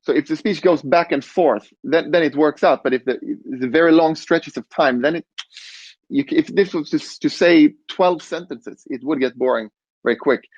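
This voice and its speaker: A man on a conference panel explaining design, relaxed, with fillers and restarts. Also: on the high side, moderate pace, slightly rough, no echo, muffled recording. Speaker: robot interaction designer.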